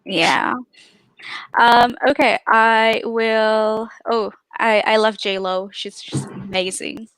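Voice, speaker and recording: A woman's voice: a little high, flat delivery, slow, a bit bright, clean tone, no room sound, low-quality recording. A woman hosting a casual livestream interview, chatty, with frequent fillers. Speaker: podcast host.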